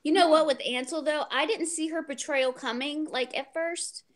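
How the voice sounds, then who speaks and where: A high-pitched woman leads a casual book chat over a group video call. She talks bluntly and jokingly, with free use of swearing. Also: steady tone, moderate pace. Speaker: book club discussion host.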